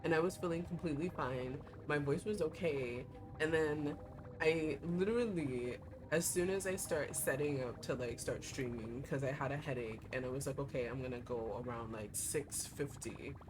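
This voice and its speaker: A young woman streaming a game, her voice hoarse and giving out, chatting in a rambling way with lots of fillers. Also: extremely low pitch, normal speed, background noise. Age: young adult. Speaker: VTuber streamer. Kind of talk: casual livestream chat while playing a gacha game. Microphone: desk streaming mic. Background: game music.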